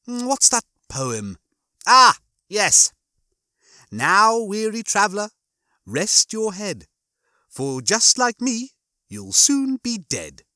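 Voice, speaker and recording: A man's voice: high-pitched, wildly swooping pitch, pushed and bright, no echo, studio quality, pitched above the performer's own voice, exaggerated voice. A man voicing a smug, pompous, gloating hologram bunkmate in a theatrical, sneering way for a story reading. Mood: gleeful, pompous. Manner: theatrical, sneering, mock-cheerful, drawn-out words.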